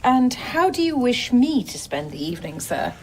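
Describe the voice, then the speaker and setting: A woman's voice: fairly high pitch, sing-song, moderate pace, a little mellow, poor audio. An actress playing a dutiful, guarded wife who earnestly believes in her society, in an audio drama. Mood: deferential. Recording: clear background noise.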